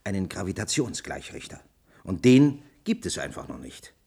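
An actor playing a cautious, pedantic scientist in a radio play, explaining deliberately in with some hesitation. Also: male voice, sits low, big pitch swings, gravelly, darkish tone, slightly rough, exaggerated voice. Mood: earnest, insistent. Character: worried, pedantic male scientist, cautious and precise. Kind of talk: German radio play acting.

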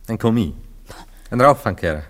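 An actor playing a jealous, agitated gentleman lover in a radio play, with an insistent, exasperated, melodramatic manner. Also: on the low side, expressive, moderate pace. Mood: scornful. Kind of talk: radio play acting, theatrical.

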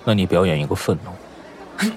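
A man acting a composed, sardonic senior film star in an audio drama, dry and measured, with music behind him. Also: low voice, a little hiss or hum. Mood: mocking.

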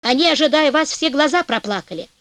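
A woman's voice: sits high, animated, a little fast, fairly bright tone, no room sound. A woman voicing a bold, cheerful young male hero who gives orders and teases. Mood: commanding. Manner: commanding and mocking.

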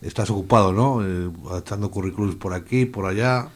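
Male, low voice, radio interviewer, informal questioning. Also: brisk, husky.

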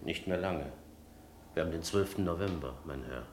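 An actor in a radio play as a courteous, secretive innkeeper, formal and obliging with theatrical intonation. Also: male voice, low-pitched, moderate pace, close to an everyday voice. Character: courteous, secretive male café landlord. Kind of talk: German radio play acting. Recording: echoey room, faint background noise.

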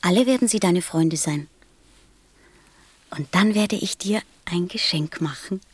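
A woman playing a gentle, wistful small boy from a star in a German radio play, speaking softly, tenderly and solemnly. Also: lowish voice, measured pace, breathy edge, no room sound. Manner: tender, solemn, simply spoken.

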